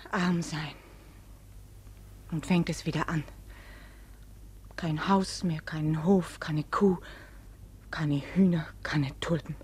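A woman playing a despairing, reproachful wife in a radio drama, with a breathy, husky voice. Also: low-pitched, fairly even intonation, takes it slowly, long pauses, darkish tone, slight background noise. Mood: lamenting. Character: despairing, reproachful wife who has lost everything. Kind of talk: radio play acting.

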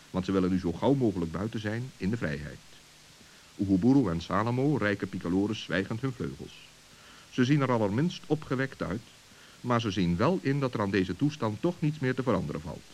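A male narrator telling a children's story, fairly low in pitch with lively intonation. It is scripted radio play narration on an old recording with faint hiss.

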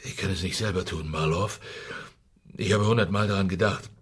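An actor playing a fearful, desperate secret police chief in a radio drama, intense and halting. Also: male voice, deep voice, slightly rough. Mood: desperate, confiding.

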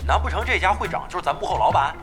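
An actor playing a brash, foul-mouthed veteran gamer and gang leader in a Chinese audio drama, blustering and grumbling. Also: male voice, high-pitched, animated, racing, music in the background. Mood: grumbling banter. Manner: blustery, grumbling, swears freely.

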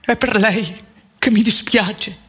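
Woman playing a husky former priest. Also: mid-range voice, fairly even intonation. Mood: tender, regretful. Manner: halting, emphatic repetition, colloquial. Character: ageing former priest, wounded, candid, plain-spoken man. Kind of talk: theatrical monologue, intimate confessional storytelling.

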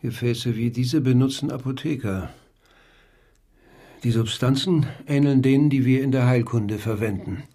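Casting: man as learned physician in period radio crime play, mid-pitched, rough-edged voice, clinical and measured. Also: warm tone, lightly acted. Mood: grave, measured. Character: sober, learned male doctor in old Paris. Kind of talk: radio crime drama acting.

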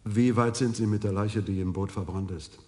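An actor in his forties playing a dapper bachelor police sergeant in a radio crime play, easygoing and quick-witted. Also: on the low side, fairly even intonation, mellow, breathy edge. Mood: relaxed. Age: 40s. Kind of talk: 1980s German radio crime play acting.

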